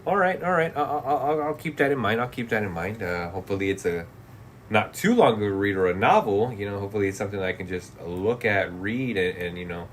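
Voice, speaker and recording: A relaxed man in his late thirties talks to his stream chat in a low voice, swearing casually and trailing off into quiet mumbles. A faint hum of room ambience sits behind him. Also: a little fast. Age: 30s. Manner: laid-back, profane, laughs often, trails off into mumbles. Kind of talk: casual livestream chat, reading chat comments.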